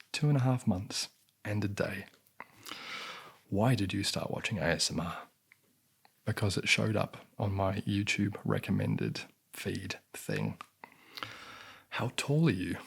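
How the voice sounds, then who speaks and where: A young New man talks softly and unhurriedly into a close mic. His lowish voice is husky and gravelly, worn raspy as if he is losing it. He keeps stopping to clear his throat between answers. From New Zealand. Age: 20s. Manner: soft-spoken, unhurried, frequent throat clearing. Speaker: ASMR channel host. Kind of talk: soft-spoken Q&A ramble.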